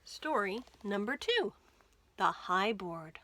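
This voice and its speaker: A woman in her thirties reading a picture book aloud to children, with careful, expressive storytelling. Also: medium pitch, a little hiss or hum. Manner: slow, careful, expressive delivery for young children. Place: small indoor room lined with bookshelves.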